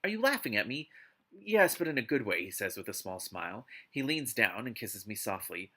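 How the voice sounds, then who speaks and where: A man hosting a live read-aloud of a book, mixing narration with casual, exasperated and expressive commentary. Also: on the low side, normal speed. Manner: exasperated, expressive asides while reading.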